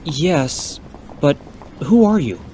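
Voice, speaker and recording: A man playing a calm, polite young hero in an amateur audio drama, talking casually. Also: fairly high pitch, slow, a little hiss or hum. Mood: curious. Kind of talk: fan audio drama acting.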